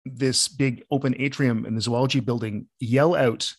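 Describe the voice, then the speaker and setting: A man's voice: mid-range voice, steady tone, normal speed, husky. A man from Canada hosting a casual video call interview, joking and rambling with many restarts. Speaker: podcast host.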